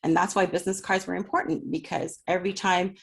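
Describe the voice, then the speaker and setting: Middle-aged woman, entrepreneur sharing her story; lowish voice with vocal fry, easygoing and chatty, leans on "you know.". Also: flat delivery, fast talker. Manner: chatty, personable, many "you know" fillers. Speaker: small business owner speaking at a community event. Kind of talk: conversational talk about her business journey. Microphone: remote call for a virtual event.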